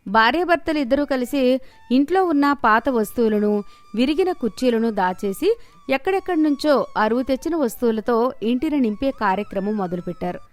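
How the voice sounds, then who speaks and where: High-pitched woman narrating a story. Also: lively intonation, brisk, faint music behind. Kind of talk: scripted moral story narration.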